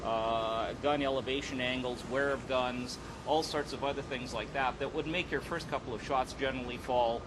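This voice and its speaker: Man explaining over loud background noise. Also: medium pitch, steady tone, normal speed, hardly any pauses. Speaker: expert interviewee. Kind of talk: unscripted interview commentary.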